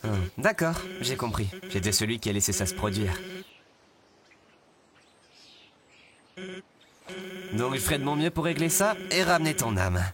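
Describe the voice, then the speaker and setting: A man playing an earnest, remorseful and polite guardian of worlds in an animated dub. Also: mid-range voice, quick pace, a crowd in the background, natural voice. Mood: resigned, determined. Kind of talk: animated series dub acting.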